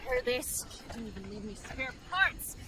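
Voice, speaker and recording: A woman's voice: a little high, expressive, moderate pace, heavy background noise, natural voice. A woman playing an angry, sarcastic, foul-mouthed rover driver in a video game. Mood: angry, bitter. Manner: sarcastic, sharp-tongued. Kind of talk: video game cutscene acting.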